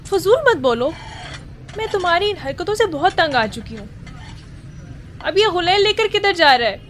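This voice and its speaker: Fed-up housewife mother, high voice, nagging, fretful, radio drama acting. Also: animated, moderate pace. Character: fed-up, worrying housewife mother of a naughty schoolboy. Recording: faint background noise.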